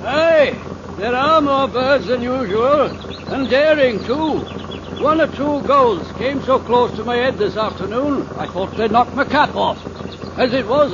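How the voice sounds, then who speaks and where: An actor playing a plain-spoken, weather-wise farmer in a folksy way, in 1950s radio style. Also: male voice, extremely high pitch, expressive, moderate pace. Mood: foreboding, chatty. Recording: noisy, muffled vintage 1950s radio recording.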